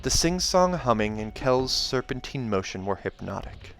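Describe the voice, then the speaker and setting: A man's voice: mid-range voice, big pitch swings, moderate pace. Man reading a fantasy novel over music. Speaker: reader and commentator. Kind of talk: expressive audiobook narration with casual asides.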